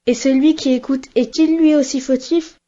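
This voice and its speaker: A woman's voice: sits high, fairly even intonation, normal speed, dark tone, highs cut off, lightly acted. A woman voicing an earnest, conscientious schoolboy in an educational children's cartoon. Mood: attentive.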